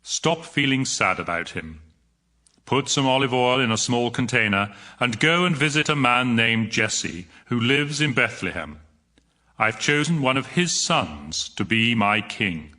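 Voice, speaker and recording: An actor playing God in a dramatized scripture reading, with a firm, authoritative delivery. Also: male voice, medium pitch, expressive, unhurried, bright, forceful tone. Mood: firm instruction.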